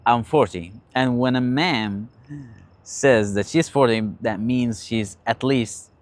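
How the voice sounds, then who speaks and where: A man in his late teens telling personal stories in a casual, confessional way, haltingly and often repeating his phrases. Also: mid-range voice, no echo. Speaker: vlogger sharing personal stories and advice. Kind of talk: casual confessional talk, storytelling.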